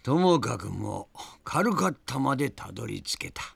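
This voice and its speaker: Very gravelly, lively old grandfather. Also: mid-range voice, normal speed, dry recording, heavily acted. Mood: gruff. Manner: old-man diction, storyteller's drama. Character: crusty, lively old grandfather adventurer recounting his journey. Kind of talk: Japanese audio drama acting and narration.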